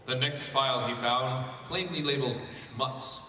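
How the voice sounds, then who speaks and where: A man's voice: medium pitch, normal speed, pushed and bright, slight background noise. Man reading aloud in a very echoey hall. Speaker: reader at a fan convention panel. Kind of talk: reading fan fiction aloud. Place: large convention panel room. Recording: echoey hall, distant mic.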